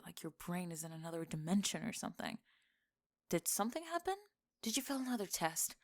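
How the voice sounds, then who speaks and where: A young woman playing a teasing, sarcastic tomboy gamer friend in an acted ASMR roleplay, with expressive sighs and laughter. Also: lowish voice. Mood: uneasy, exasperated. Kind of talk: acted ASMR roleplay, one-sided conversation.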